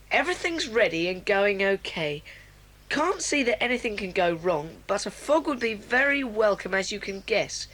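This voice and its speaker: A woman voicing a plucky schoolboy who reports what happened in a steady, plain, matter-of-fact way in a 1980s children's audio drama. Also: mid-range voice.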